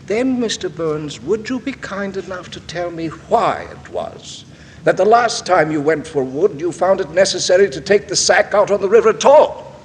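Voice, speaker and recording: Coroner, 1940s radio drama. Polite but relentless, fairly high voice, faintly hissy old recording. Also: male voice, animated, long reverb tail. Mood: probing. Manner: formal, probing, deliberately polite. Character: persistent, courteous male coroner questioning witnesses at an inquest.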